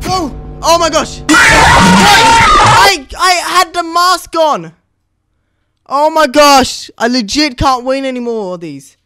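A young adult with an excitable, chatty manner giving casual gameplay commentary. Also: sits high, slow. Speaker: gaming YouTuber. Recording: voice over loud game music. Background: game music.

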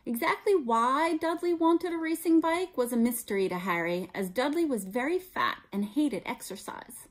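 Lively American woman reading aloud. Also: high voice, normal speed, few pauses. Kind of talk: expressive children's read-aloud with teaching asides. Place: small room at home, indoors.

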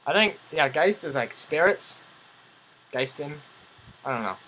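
A young man with a fairly high, bright voice bantering through a game. His pitch moves around a lot, in a wry, chatty way. There is some background noise from a home computer mic. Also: lively intonation, measured pace, rough recording. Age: young adult. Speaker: co-host of a gaming video. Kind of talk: casual let's play banter. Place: small home room, indoors.

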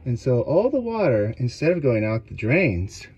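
A man's voice: medium pitch, sing-song, normal speed, muffled recording, background noise. A man casually talking through repairs in the small cabin of a boat, with wry, understated asides, recorded on an action camera. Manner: wry, understated, talks to himself while working. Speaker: boat owner vlogger. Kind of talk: casual vlog commentary while working. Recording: music under the voice. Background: background music.

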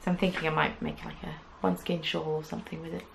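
A woman's voice: sits low, little pitch movement, fast talker, creaky, slight background noise. A young woman hosting a knitting podcast, chatting casually to camera from a small craft room, rambling with lots of "um"s and playful asides. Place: small indoor craft room with yarn shelves. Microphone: camera at desk distance.

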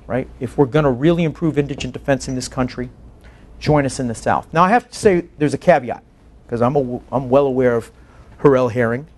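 A man in his forties giving a lecture in an earnest, emphatic way, tagging points with "right?". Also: mid-range voice. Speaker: guest lecturer, public defense advocate. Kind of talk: lecture to a faculty colloquium with questions. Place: meeting room, indoors.

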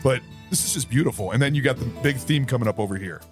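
A hoarse man just getting over the flu, co-hosting a casual movie podcast. He gushes about film scores, swears freely and sometimes loses his words. Faint music plays behind him. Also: medium pitch, fast talker. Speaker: podcast co-host. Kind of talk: casual movie review chat.

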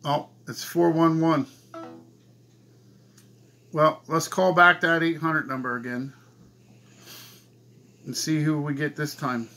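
A man's voice: on the high side, level tone, takes it slowly, long pauses, sharp tone. A man in his forties giving casual, muttered commentary at home as the host of a prank-call video. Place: small cluttered room at home. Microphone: camera across the table. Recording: home camera with faint room noise.

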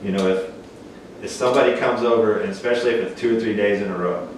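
A man with a fairly low voice talks casually about life as a fishing guide, folksy and relaxed. He is heard from a distance in an echoey dining room. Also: fast, a little hiss or hum. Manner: relaxed, folksy, acts out quoted exchanges. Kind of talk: casual roundtable discussion. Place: dining room around a table.

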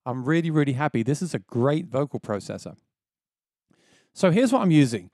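A man's voice: sing-song, normal speed, darkish tone, dry recording. A man in his thirties hosting a casual music gear tutorial, explaining step by step with enthusiasm, on a close dynamic mic in a small home studio. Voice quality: describes his own voice as quite low. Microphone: dynamic mic on a boom close to the face.

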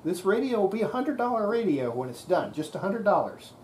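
Folksy older workshop tinkerer, very lively pitch. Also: fairly quick. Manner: folksy, talking while working. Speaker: radio repairer presenting a restoration. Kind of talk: casual hands-on workshop commentary. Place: indoor workshop. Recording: noisy workshop camera audio with clicks.

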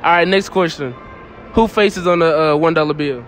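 A man's voice: fairly high pitch, moderate pace, a bit bright, soft background music. A young man interviewing strangers in a shopping mall, repeating their answers back and laughing often. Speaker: street interviewer. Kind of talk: casual street interview. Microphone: handheld phone.

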